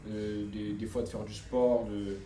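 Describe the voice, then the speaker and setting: A man's voice: on the low side, flat delivery, unhurried, mellow, reverberant, slight background noise. A man who works as a tattoo artist, talking casually and loosely, rambling and checking in with the listener often. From Montreuil. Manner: loose, rambling, frequent "tu vois". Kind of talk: casual podcast chat.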